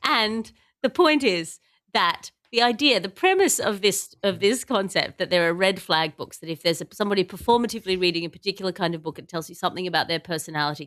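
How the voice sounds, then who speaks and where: A woman's voice: fairly low pitch, fairly bright tone, dry recording, studio quality. A woman hosting a comic panel chat, speaking in a wry, articulate and wordy way. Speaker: podcast host.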